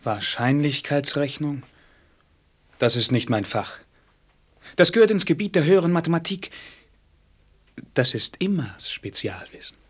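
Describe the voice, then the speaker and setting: An actor playing a melancholy, self-doubting young prince in a radio play, speaking formally and earnestly. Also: male voice, fairly high pitch, expressive, a little slow, pauses often, slightly rough. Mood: wistful, earnest. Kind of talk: German radio play acting.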